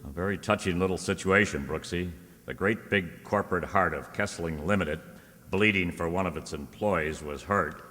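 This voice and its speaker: Brief: male actor as sardonic, stubborn radio private eye, low and forceful, clipped delivery, recorded in a reverberant hall. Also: normal speed. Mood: brisk, businesslike. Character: wisecracking, stubborn, suspicious male private detective. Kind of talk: old-time radio detective drama acting. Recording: echoey hall, long reverb.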